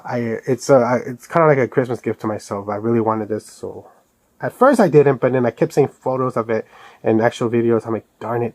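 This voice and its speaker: A man's voice: medium pitch, no echo. A man giving a casual, rambling product review as an enthusiastic fan of collectibles. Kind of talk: casual unboxing review vlog.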